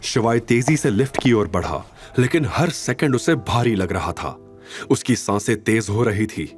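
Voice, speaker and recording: A man narrating a scripted story in a dramatic, expressive and suspenseful manner. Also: medium pitch, unhurried, soft background music.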